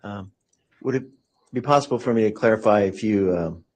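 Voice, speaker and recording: A man's voice: fairly low pitch, a little fast, darkish tone, a little hiss or hum. A man giving expert testimony in a courtroom, hesitant and full of fillers, explaining technical terms carefully. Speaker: expert witness.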